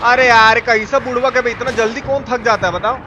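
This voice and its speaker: A man's voice: very high voice, fairly even intonation, a little fast, muffled recording. A man doing casual, slangy live game commentary, grumbling at the game and chatting with viewers. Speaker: game streamer. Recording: noisy, game sound underneath. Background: video game sound effects.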